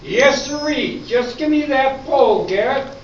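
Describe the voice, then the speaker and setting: Casting: man as raring-to-go cowboy contestant, extremely high pitch, folksy and loud; stage comedy with clear background noise. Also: a little slow. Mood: gung-ho, confident. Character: gung-ho cowboy quiz champion, male. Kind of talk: amateur stage radio-play comedy acting. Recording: roomy, distant, noisy dull stage recording.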